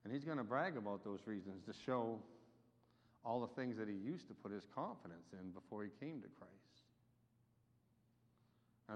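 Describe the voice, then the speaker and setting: A man preaching a sermon, with emphatic delivery that swells and drops in loudness. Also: medium pitch. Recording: dull reverberant hall recording.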